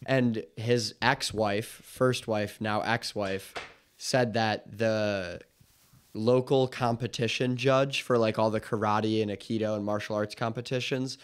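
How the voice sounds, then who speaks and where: A man co-hosting a casual podcast, telling a long anecdote in an animated way. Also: fairly low pitch, a little slow, smooth. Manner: storytelling, animated, laughs often. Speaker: podcast co-host. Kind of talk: casual chat.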